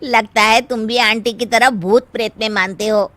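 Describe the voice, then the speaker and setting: A woman's voice: on the high side, fairly even intonation, moderate pace, fairly bright tone, dry recording, lightly acted. A woman voicing an easygoing, sceptical young man visiting as a houseguest in a story. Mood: teasing.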